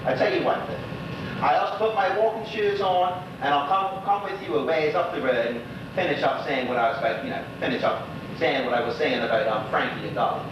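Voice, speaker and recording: An actor playing a lonely old widower in a stage monologue, chatting wistfully and rambling in a folksy Bermudian dialect. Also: male voice, fairly high pitch, fairly quick, far from the mic, lightly acted. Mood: friendly, rambling. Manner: rambling, folksy, gossipy storytelling. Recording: echoey stage recording from afar, noisy, dull.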